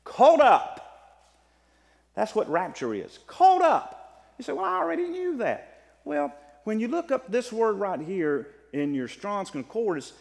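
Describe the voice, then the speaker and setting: A man's voice: sits high, sing-song, a little slow, vocal fry. Emphatic preacher man in echoing hall. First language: English. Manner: emphatic Bible teaching, swings between loud and soft. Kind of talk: sermon. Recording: long reverb of a hall.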